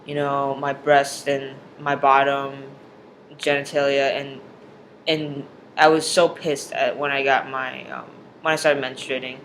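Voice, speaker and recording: A young man talking earnestly in a personal video diary, pausing often with fillers and restarting his sentences. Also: medium pitch, monotone, fairly bright tone, a little hiss or hum, rough recording. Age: young adult. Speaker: vlogger.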